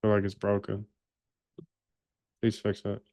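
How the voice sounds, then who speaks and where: A man's voice: fairly low pitch, monotone, a little slow, dark tone, no echo. Man, live-stream coder, casual, profane, joking, dry close sound. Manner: loose, profane, joking banter with chat. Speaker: livestream host coding a trading bot.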